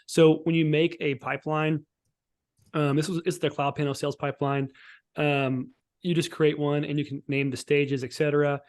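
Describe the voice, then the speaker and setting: A man giving an informal, instructional software walkthrough as a sales trainer on a live call, often checking that listeners follow. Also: a little high, flat delivery, normal speed. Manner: informal, instructional, checks for understanding with tags like "right?" and "okay?". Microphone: online group call.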